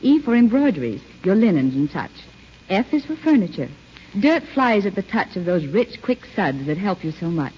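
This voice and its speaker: A woman's voice: medium pitch, very animated, moderate pace. A woman delivering scripted, rhymed advertising patter on a 1930s radio show. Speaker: commercial spokeswoman. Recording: old muffled radio, faint noise.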